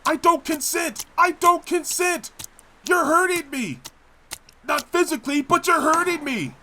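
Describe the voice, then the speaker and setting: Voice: very high-pitched, unhurried, sharp tone, slight background noise, heavily acted. An actor voicing a sarcastic, whiny cartoon pony, a reluctant hero who stammers exasperated protests in a comedic audio drama. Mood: panicked indignation.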